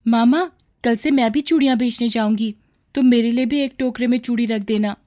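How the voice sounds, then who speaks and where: A woman's voice: on the high side, moderate pace, warm tone, pitched above the performer's own voice, lightly acted. A woman voicing an earnest, caring little daughter in a cartoon story, with a pleading manner.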